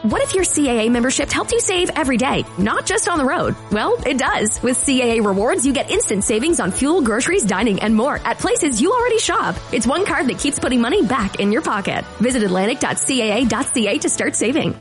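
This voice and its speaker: Woman doing a peppy radio ad, high voice, faint music under. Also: big pitch swings, brisk, hardly any pauses. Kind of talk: scripted radio ad read.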